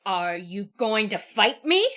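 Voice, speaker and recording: A woman's voice: mid-range voice, sing-song, slow pace, fairly bright tone. A woman playing a mocking, grandiose supervillain in an audio drama. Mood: mocking, menacing. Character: taunting theatrical female supervillain in a stage show.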